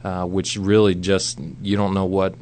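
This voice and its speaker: A man's voice: sits low, completely flat delivery, unhurried, a little mellow. An American man of about forty, speaking casually as a guest in a radio interview. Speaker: guest, missionary. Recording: background noise and hum.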